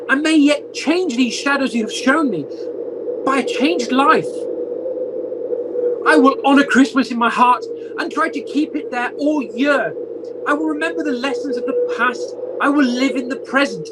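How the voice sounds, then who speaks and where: An actor playing an emotional, repentant old male miser in an amateur radio play, with theatrical, halting exclamations. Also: high voice, lively intonation, background noise. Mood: desperate pleading. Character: repentant old male miser, desperate then giddily joyful. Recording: home video-call audio.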